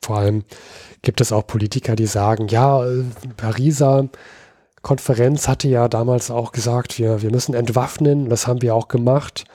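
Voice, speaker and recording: A man from Berlin co-hosting a history podcast, explaining at length and halting often with fillers. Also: medium pitch, steady tone, warm tone, no echo, clean studio sound. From Berlin, Germany. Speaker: podcast co-host. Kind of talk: casual history podcast chat.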